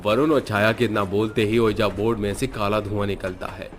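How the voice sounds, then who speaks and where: A man's voice: on the low side, fairly even intonation, brisk, slight background noise. A man narrating a scripted horror story as its storyteller. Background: faint background music.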